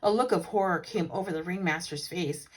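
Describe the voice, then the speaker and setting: A woman teacher reads a storybook to children. Her delivery is expressive and animated, leaning into dramatic emphasis as the tale unfolds. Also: fairly low pitch, a little fast. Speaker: teacher reading a children's book aloud. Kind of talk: expressive storybook read-aloud.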